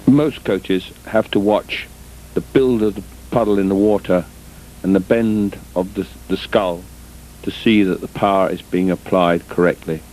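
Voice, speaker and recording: A man giving measured, deliberate instructional sports commentary as a coach, in short phrases broken by pauses, on an old VHS tape recording. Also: steady tone, darkish tone. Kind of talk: instructional film commentary over footage. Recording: noisy, muffled old VHS tape, rumble.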